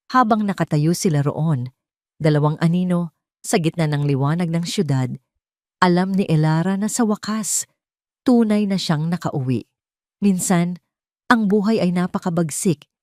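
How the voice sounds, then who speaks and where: A woman's voice: fairly low pitch, a little mellow, no room sound, studio quality. A woman reading a scripted, dramatic romance story as narrator.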